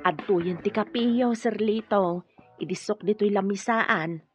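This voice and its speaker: An actress playing a timid but nosy housemaid in a radio drama, hesitant and respectful. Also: female voice, medium pitch, unhurried, soft background music, close to an everyday voice. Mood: hesitant, curious. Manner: hesitant, deferential. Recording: dull, dry lo-fi audio.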